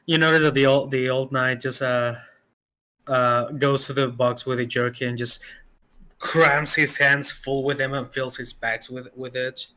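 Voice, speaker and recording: Guy running a tabletop game, slow talker, bright voice, lots of uhs. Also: medium pitch, flat delivery. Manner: slow, halting narration with fillers. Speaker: game master.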